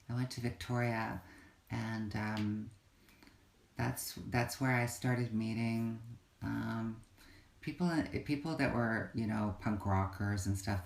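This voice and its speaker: A transgender woman in her fifties chatting on a home livestream, halting often with fillers and restarts. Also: very deep voice, vocal fry. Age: 50s. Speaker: vlogger. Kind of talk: casual livestream talk. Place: home yoga room. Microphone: phone or webcam livestream. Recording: echoey room, loud air conditioning hum.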